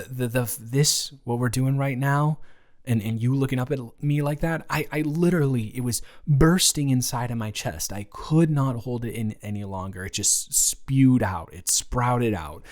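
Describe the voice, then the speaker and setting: A man's voice: medium pitch, a little mellow, natural voice. A man playing a nervous, smitten roommate in an improvised romantic roleplay audio, rambling and stammering in intimate one-sided talk. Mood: flustered, adoring. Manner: stammering, rambling, giddy, halting. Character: nervous, smitten male roommate confessing love.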